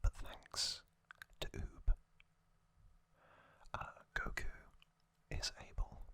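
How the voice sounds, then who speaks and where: Slow, halting ASMR whisperer. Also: pushed and bright. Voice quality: breathy unvoiced whisper. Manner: slow, halting, pausing between short phrases. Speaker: ASMR host. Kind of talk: whispered ASMR recap narration.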